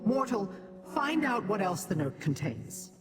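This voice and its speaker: A woman playing a brisk, decisive, commanding adventurer companion in a fantasy video game. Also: medium pitch, sing-song. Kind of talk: video game character acting. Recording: long reverberant hall effect, game music.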